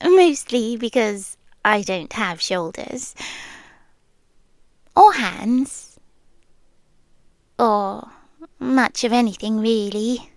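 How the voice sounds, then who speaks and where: A woman acts out a possessive, teasing phantom girlfriend with a British accent. Her voice is high and soft, often slipping toward a whisper. She takes her time, lingering in slow, deliberate phrases, very close and dry on the microphone in an intimate ASMR roleplay. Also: lively intonation, dry recording, heavily acted. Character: possessive, teasing yandere girlfriend spirit living in listener's head.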